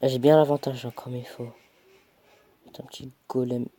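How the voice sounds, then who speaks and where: A man's voice: mid-range voice, little pitch movement, normal speed, dark tone. A young man with a cold, casually commentating his own mobile game match, chatty and self-mocking, muttering as he plays. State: stuffy with a cold.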